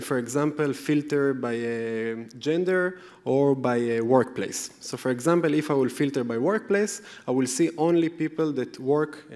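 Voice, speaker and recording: A man's voice: mid-range voice, darkish tone. A man from Israel with an Israeli accent, a product manager explaining things step by step to a live audience, often checking in with "okay?". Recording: long hall reverb.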